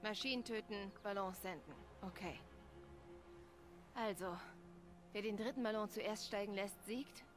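A woman voicing a calm, determined huntress. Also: mid-range voice, a little slow, long pauses, slight background noise, lightly acted.

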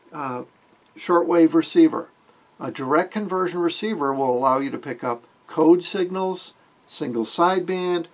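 An older man with a husky voice gives an informal electronics tutorial in a folksy, wryly joking way. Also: fairly high pitch, slight background noise. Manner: folksy, wry joking explanations. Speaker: amateur radio hobbyist, video host. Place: small wood-panelled room indoors.

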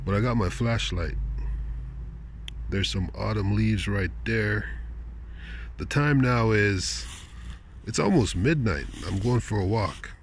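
A man's voice: lowish voice, a little hiss or hum. A man chatting in a laid-back, rambling, slangy way as he vlogs on a walk outdoors. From Canada. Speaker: vlogger. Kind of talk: casual rambling vlog chat. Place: outdoors, walking in wilderness at night.

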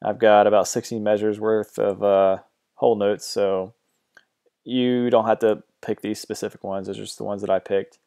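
A man with a low, mellow and smooth voice talks through music software settings at his computer. He sounds relaxed and a bit hesitant, filling pauses with ums and uhs. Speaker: music production tutor. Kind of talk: screen-recorded software tutorial.

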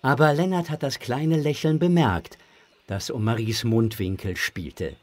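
A man with a husky voice, narrating a scripted children's story expressively. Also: mid-range voice, a little slow.

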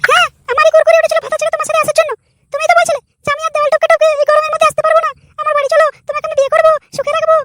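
A dutiful young daughter-in-law from a comedy cartoon. The voice is extremely high, squeaky and bright, racing along in a placating, pleading way. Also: female voice, flat delivery, racing, no room sound. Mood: complaining. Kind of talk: comic cartoon dialogue.

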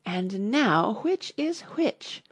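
A woman's voice: mid-range voice, sing-song, drawn-out words, slightly rough, dry recording, full character voice. A woman voicing a polite, puzzled little girl in a storybook, speaking earnestly with hesitant protest. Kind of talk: storybook character reading.

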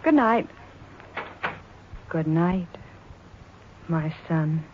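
An actress playing a tender, grieving young expectant mother in a 1940s radio drama, speaking in a hushed, solemn, deliberate way. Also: low voice, expressive, a little hiss or hum, heavily acted. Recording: muffled, tinny 1940s radio recording. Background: loud orchestral music.

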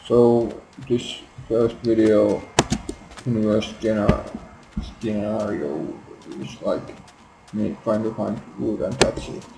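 A young man with a Finnish accent, quietly and hesitantly commenting on his own game play, swearing often, recorded on a noisy laptop microphone at home. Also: lowish voice, steady tone, slow, vocal fry, roomy sound. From Finland. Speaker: gameplay video maker. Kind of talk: casual gameplay commentary. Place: home room. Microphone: built-in laptop mic.

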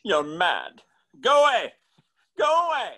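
A man's voice: high-pitched, huge pitch swings, takes it slowly, sharp tone, heavily acted. A man playing a frightened old man in a radio horror drama, stammering and pleading in alarm.

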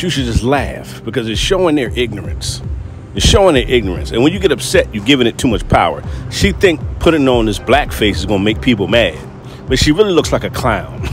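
A man hosting a casual reaction commentary, rambling with filler words, repeating himself and chuckling. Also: medium pitch. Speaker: host of a commentary channel.

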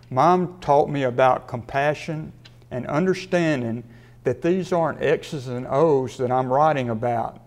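A man in his sixties from Mississippi, a sports writer delivering a prepared acceptance speech in a measured way with a dry wit. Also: mid-range voice, a little mellow. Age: 60s. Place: studio with a sponsor backdrop.

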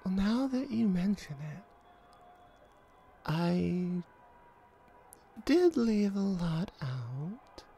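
A woman playing a flirty, laid-back female hellhound in an ASMR audio roleplay, talking to the listener in a wry, conversational way with hesitant pauses. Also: mid-range voice, very animated, dark tone, a little hiss or hum, heavily acted. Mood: patient, explaining.

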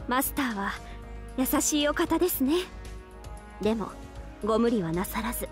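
A woman acts as a gentle young samurai archer from a mobile game. Her voice is soft and fairly high, with formal, reverent phrasing and devoted politeness. Clear music plays underneath. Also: lively intonation, normal speed, lightly acted. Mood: grateful, humble. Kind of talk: Japanese mobile game voice lines. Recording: studio voice over game music.

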